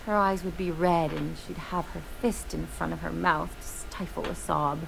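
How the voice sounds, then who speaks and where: Brash, sardonic young woman adventurer, low-pitched. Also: steady tone, moderate pace, gravelly, background noise. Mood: wry, dramatic. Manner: sardonic, theatrical storytelling. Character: brash young adventurer woman, bitter, dramatic, estranged from parents. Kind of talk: video game voice acting.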